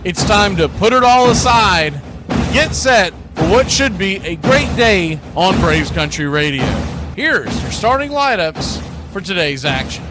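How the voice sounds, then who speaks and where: A man's voice: high-pitched, sing-song, a little slow. A man announcing sports and sponsor spots in a showy, folksy radio play-by-play style. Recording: music bed under the voice. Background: music.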